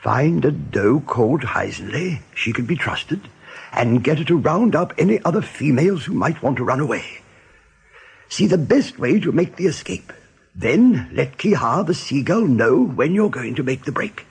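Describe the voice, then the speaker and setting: A burly rabbit posing as a dull recruit in a radio play. The voice is rough and gravelly and swings widely in pitch, with hesitant, rustic phrasing. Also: male voice, lively intonation, moderate pace, heavily acted. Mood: resolute. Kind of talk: radio drama acting.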